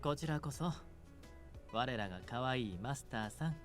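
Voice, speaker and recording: Actor as a quick, teasing young-sounding game gentleman. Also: mid-range voice, animated, natural voice. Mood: courteous, pleased. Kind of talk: Japanese game voice acting. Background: game music.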